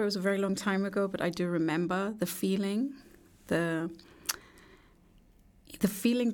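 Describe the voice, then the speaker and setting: A woman, a guest speaking reflectively in a studio interview, pausing thoughtfully. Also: lowish voice, darkish tone. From England. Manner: reflective, measured, with thoughtful pauses. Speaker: artist and poet guest.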